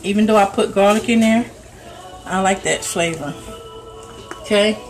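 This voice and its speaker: A woman's voice: medium pitch, moderate pace, faint music behind, low-quality recording. A middle-aged woman from New Jersey with a Jersey accent, chatting casually with viewers while cooking in her home kitchen, phone held over the stove. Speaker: home cook livestreaming. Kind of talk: casual cooking livestream chat.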